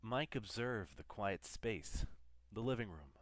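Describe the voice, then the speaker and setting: A male narrator reads a scripted audio drama. His voice sits fairly low, and the sound is close and dry with little room to it. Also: expressive, measured pace, no echo.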